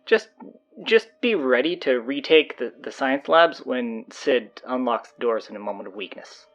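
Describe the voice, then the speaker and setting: A man playing a flustered, sardonic stand-in high school announcer, rambling hesitantly and casually through audio drama announcements. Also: normal speed, fairly bright tone, lo-fi sound, natural voice. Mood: awkward, deadpan.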